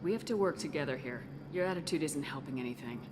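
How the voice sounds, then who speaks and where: A woman's voice: low voice, expressive, quick pace, background noise. An actress playing a tough, guarded female military commander in a video game, speaking bluntly and firmly. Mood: firm, probing. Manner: blunt, firm, questioning. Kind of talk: video game cutscene acting. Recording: music behind the voice.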